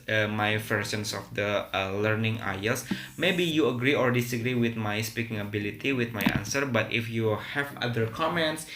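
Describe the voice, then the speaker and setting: A man's voice: lowish voice, pushed and bright. A man with an Indonesian accent, a native Indonesian speaker, talking through IELTS speaking answers with many hesitant fillers. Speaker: English teacher. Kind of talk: IELTS speaking practice monologue. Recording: echoey room with faint music.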